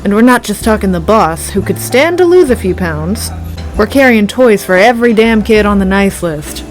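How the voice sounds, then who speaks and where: A woman's voice: medium pitch, animated, normal speed, faint music behind, natural voice, low-quality recording. A woman acting a jaded, grumbling bar-stool reindeer. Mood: weary griping. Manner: world-weary, griping, dryly pedantic. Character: jaded, tipsy, grumbling female-voiced reindeer drinking at a bar. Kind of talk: comedic audio play acting.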